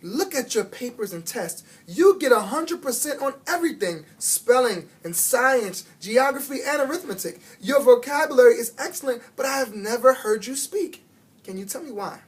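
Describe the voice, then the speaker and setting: A stern but caring woman teacher from a children's storybook, acted out by a man reading aloud. The voice is husky and lifted in pitch, pressing each point loudly and emphatically as it coaxes. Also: lively intonation, normal speed, heavily acted. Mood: firm, reasoning. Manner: loud, emphatic, coaxing.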